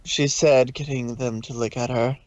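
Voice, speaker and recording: A man's voice: mid-range voice, fairly even intonation, moderate pace, darkish tone, dry recording. A man narrating a story aloud in a dramatic reading style.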